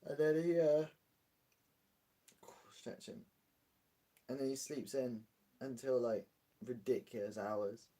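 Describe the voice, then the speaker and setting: A man's voice: medium pitch, fairly even intonation, slow, pauses often, mellow. A British man streaming live, chatting casually and rambling as he answers viewer comments. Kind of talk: casual livestream chat.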